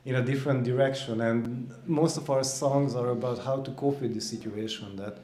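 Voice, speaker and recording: A man's voice: mid-range voice, steady tone, normal speed, mellow, echoey room. A man from Hungary, a band member, answering interview questions in an earnest way, often searching for words.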